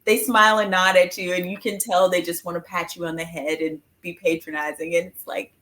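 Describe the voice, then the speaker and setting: A woman's voice: fairly low pitch, level tone, brisk, fairly bright tone, poor audio. A woman hosting an interview, speaking in a thoughtful, appreciative way as she frames her questions. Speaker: host. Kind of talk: conversational interview.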